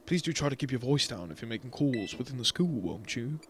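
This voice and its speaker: A man voicing a polite, gently stern older school director, reading the lines in a soft, formal way while playing a game. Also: mid-range voice, animated, a little fast, a little hiss or hum, lightly acted.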